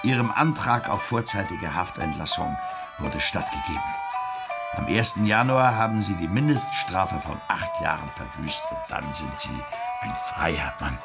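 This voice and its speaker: Chummy prison boss in a radio play, deep n animated, halting, pleased with himself. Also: male voice, creaky, loud music behind the voice, full character voice. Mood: pleased, jovial. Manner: halting, self-satisfied, chuckling. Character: jovial male prison director, pleased and chatty. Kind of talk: German radio drama acting.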